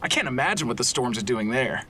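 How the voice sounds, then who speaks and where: A man's voice: mid-range voice, sing-song, fast talker, pushed and bright, slight background noise. A man playing an earnest, anxious archaeologist's assistant with a wry streak in an audio drama. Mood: worried. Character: male archaeologist's assistant, anxious, deferential, wryly sarcastic.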